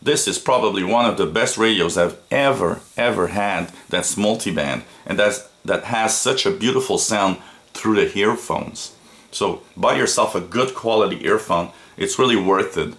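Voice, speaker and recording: A man with a fairly low voice talks through an informal product review. He explains features step by step, pausing with hesitations and often repeating a phrase before going on. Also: a bit bright.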